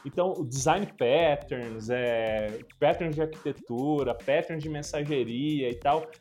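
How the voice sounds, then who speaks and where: A man's voice: mid-range voice, unhurried, darkish tone, faint music behind. A man with a Brazilian accent on a tech podcast, patiently explaining ideas with frequent tag questions. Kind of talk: casual tech discussion.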